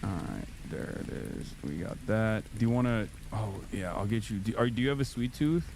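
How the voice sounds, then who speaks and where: A man's voice: fairly low pitch, lively intonation, normal speed, vocal fry, noisy background. A man from Turkey hosting a livestream who chats casually and rambles with lots of restarts while ordering food. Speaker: streamer host.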